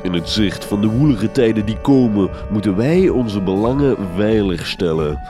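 Man playing a pompous, deep, theatrical villainous count. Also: expressive, normal speed, over music, natural voice. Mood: grave, scheming. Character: pompous mad-scientist count chairing a villainous secret society. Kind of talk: gothic horror comedy radio drama.

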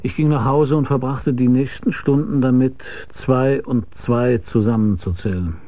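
An actor playing a weary, laconic, deadpan hard-boiled private detective who narrates his case in a radio crime drama. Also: medium pitch, a little slow, no room sound, lightly acted. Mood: tense narration.

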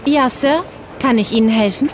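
An actress in a German audio drama playing a polite, attentive restaurant hostess with a formal, deferential manner. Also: female voice, fairly high pitch, normal speed, close to an everyday voice. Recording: clear ambient noise behind.

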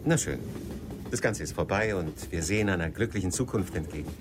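An actor in a German radio drama playing an evasive, secretive middle-aged husband who also narrates, in a dry, wry manner. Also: male voice, deep voice, animated, brisk, background noise. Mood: controlled, guarded.

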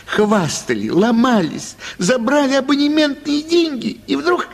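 An actor playing a histrionic, self-pitying theatre impresario in a radio play, declaiming and wailing in a raspy, creaky voice. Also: male voice, extremely high pitch, animated, unhurried, exaggerated voice. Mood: indignant, scandalized. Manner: declamatory, wailing, breast-beating exclamations. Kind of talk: Russian radio play acting of a classic story.

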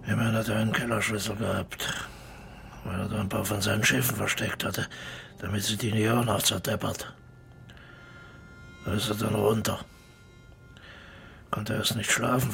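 A man's voice: on the low side, vocal fry, pushed and bright, full character voice. An actor playing a bitter older widowed man in a radio drama, blunt and colloquial, speaking in terse fragments. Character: gruff, bitter old widowed drinker defending his friend. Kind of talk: naturalistic radio drama dialogue.

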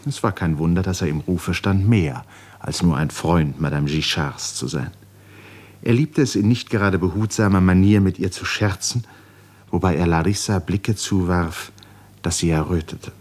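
A man narrating a literary radio drama in a measured, articulate storytelling manner. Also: deep voice, a little mellow.

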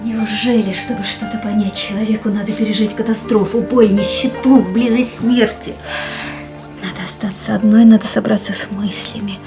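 An actress playing a fatalistic, defiant young woman in a radio drama, theatrical and wistful, with drawn-out words. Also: medium pitch, steady tone, over music, lightly acted. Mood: wistful resolve. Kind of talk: radio drama acting, inner monologue and dialogue.

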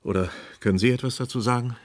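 A man's voice: medium pitch, fast, natural voice. A man playing an agitated, defiant news anchor with a sardonic, argumentative manner in a German radio play. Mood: bitter, subdued.

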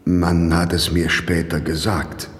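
Grave imprisoned priest, low and echoing. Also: male voice, little pitch movement. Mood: wary, bitter. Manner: measured, reflective, deliberate. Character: imprisoned priest awaiting execution, grave, gentle, watchful. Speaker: radio play actor. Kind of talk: German radio drama acting. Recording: reverberant hall, noisy old radio recording.

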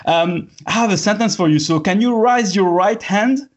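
A man whose first language is French, interviewing a guest in English in a friendly, casual way, with halting non-native phrasing. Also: high-pitched. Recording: dull lo-fi audio.

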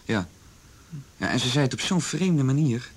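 An actor playing an earnest young son in a radio drama, telling what he witnessed in a halting, sincere, plain-spoken way. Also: medium pitch, animated, husky. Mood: uneasy, earnest. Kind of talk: naturalistic radio drama dialogue.

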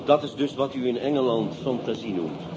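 An actor playing a brisk, wry police inspector with a Dutch accent in a radio drama. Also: male voice, medium pitch, lively intonation, lightly acted. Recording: dull, noisy radio play recording.

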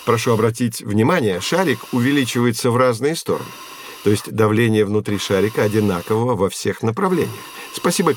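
An actor playing a patient male physics professor in an educational audio drama, explaining clearly and didactically. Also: medium pitch, natural voice.

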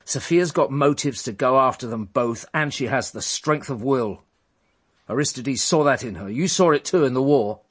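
An actor playing a world-weary, fatherly police inspector in a radio drama, a middle-aged man with a husky voice and a measured, probing manner. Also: medium pitch, fairly bright tone. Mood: pressing, blunt.

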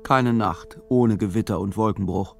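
A man's voice: fairly low pitch, normal speed, gravelly, faint music behind. A man delivering scripted literary narration for a radio play.

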